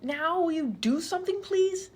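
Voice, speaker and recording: A woman's voice: extremely high pitch, normal speed. A woman playing an exasperated, indignant hospital patient pleading her case in a comedy radio sketch.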